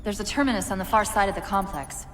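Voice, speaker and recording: An actress playing a quick-witted female AI who explains things briskly and wryly, over a game score. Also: mid-range voice, big-room sound, natural voice. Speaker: game character. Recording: game audio with loud music behind.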